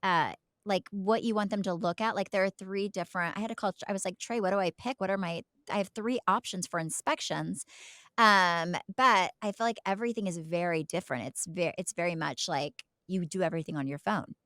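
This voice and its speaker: A woman's voice: lowish voice, brisk, a bit bright, dry recording. Casual American woman podcaster, close dry sound. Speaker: podcast co-host. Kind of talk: casual chat.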